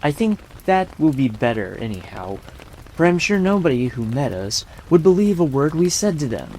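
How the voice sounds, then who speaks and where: A woman voicing a gruff, sulky young boy who speaks bluntly and indignantly. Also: sits low, very animated, moderate pace, darkish tone, a little hiss or hum, pitched below the performer's own voice, poor audio. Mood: stubborn.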